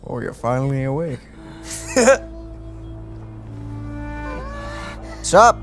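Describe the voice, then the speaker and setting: A man's voice: very high voice, wildly swooping pitch, very slow. A dry, playful gamer man over game music. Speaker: gaming channel co-host. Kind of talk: casual game reaction commentary. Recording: let's play audio over game music.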